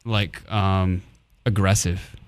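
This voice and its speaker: Smooth-voiced man hosting a casual chat. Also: deep voice, little pitch movement, slow pace. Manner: playful, rambling with many fillers. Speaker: podcast host. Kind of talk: casual album review chat.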